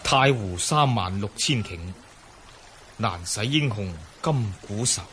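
A man's voice: lowish voice, animated, slow pace. An actor playing a lofty, self-possessed scholar-swordsman, declaiming a poetic soliloquy in a radio drama. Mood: musing, lofty. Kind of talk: Cantonese radio drama acting. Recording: clear water ambience behind voice.

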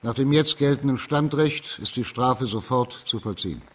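A man performs a stern magistrate of old China in a radio play. His mid-pitched voice has a husky grain. He words everything formally, with measured authority. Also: natural voice. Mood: stern, official. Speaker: judge character. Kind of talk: radio drama acting.

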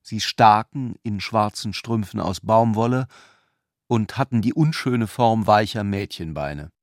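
A man's voice: fairly low pitch, a little slow, dry recording, studio quality. A man narrating a literary radio drama from a script.

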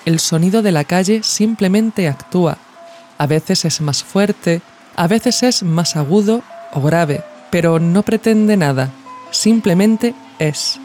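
A narrator reads a scripted audio essay in a measured, reflective way, phrasing each thought deliberately. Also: mid-range voice, a little mellow. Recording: music behind the voice. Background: music.

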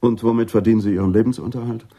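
An actor playing a dry, persistent police detective questioning a suspect in a radio drama, deadpan and probing. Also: male voice, on the low side, rapid-fire. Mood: probing, unimpressed. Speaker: interrogating police officer.

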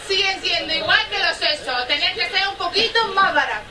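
A woman's voice: extremely high pitch, expressive, a little fast. A woman with an accent from Spain giving casual opinions in a street-style interview. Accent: Spanish from Spain. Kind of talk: casual vox-pop chat. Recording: echoey hall, distant, loud music and crowd.

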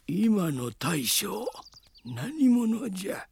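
A man acting a quirky old dragon-kin in human form, with creaky voice and archaic, theatrical old-man speech in a Japanese radio drama. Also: high voice, big pitch swings, very slow, darkish tone, full character voice. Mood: curious. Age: older.

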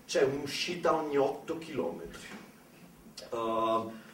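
A man performing a theatrical storytelling monologue in a conversational, ironic way, with dramatic pauses and emphasis. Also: medium pitch, animated, a bit bright. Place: echoey indoor performance space, mic at a distance. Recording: echoey room, distant microphone.

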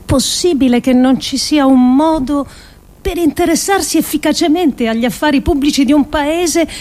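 A woman performing a scripted dramatic monologue for radio in a deliberate, measured way with pointed pauses. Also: high voice, a little mellow. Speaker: actress reading an adapted essay.

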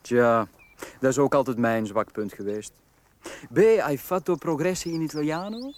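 A man's voice: mid-range voice, moderate pace, a little mellow, lightly acted. An actor with a Flemish accent playing a stern, reserved aristocratic father and husband in a radio drama. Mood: dry, amused.

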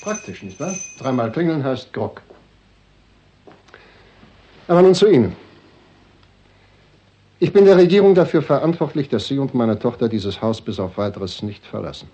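A man's voice: medium pitch, lively intonation, moderate pace, pauses often, dark tone, dull audio. An actor playing a suave, cynical doctor and father in a radio play, sardonic and coldly self-serving. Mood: suave, controlling. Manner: sardonic, sweeping between smooth and scornful. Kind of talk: 1960s German radio play acting.

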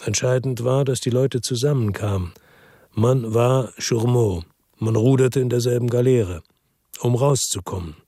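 Heiserer, grüblerischer Noir-Ermittler. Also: male voice, mid-range voice, measured pace, dark tone, breathy edge, no echo. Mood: brooding, weary. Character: world-weary, brooding male investigator in Marseille noir. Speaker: narrator and lead actor. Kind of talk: first-person noir radio drama narration. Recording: dry studio with music underneath.

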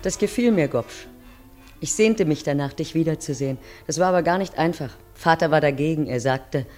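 A woman's voice: low voice, a little fast, a little mellow, natural voice. Woman acting a railwayman's wife, weary, intimate, dramatic, 1950s radio play, music underneath. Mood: reminiscing. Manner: dramatic, intimate direct address. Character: weary, pleading railwayman's wife looking back on youth.

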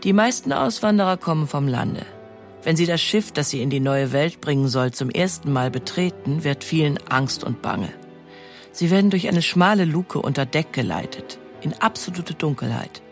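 A woman with a creaky, gravelly edge gives a documentary account. She explains in a measured way, with faint music playing behind her. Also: low voice, animated. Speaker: expert commentator.

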